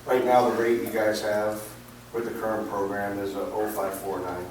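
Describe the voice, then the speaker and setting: A man, an energy consultant, presenting at a public council meeting, his voice hoarse and going, with halting ums and uhs. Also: on the low side, little pitch movement. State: losing his voice. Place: council chamber. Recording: echoey chamber, far from mic, noisy.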